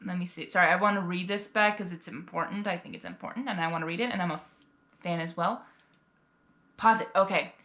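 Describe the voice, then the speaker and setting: A woman's voice: fairly low pitch, fairly quick, fairly bright tone. A woman hosting a casual reaction video, rambling and exclamatory, often repeating her phrases. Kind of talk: casual emotional reaction commentary. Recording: roomy sound with faint music. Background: faint music.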